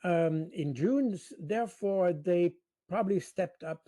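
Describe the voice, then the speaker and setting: Slow, higher-voiced man giving analysis. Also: dark tone, no room sound, studio quality. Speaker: political analyst, interview guest. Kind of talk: spontaneous interview commentary.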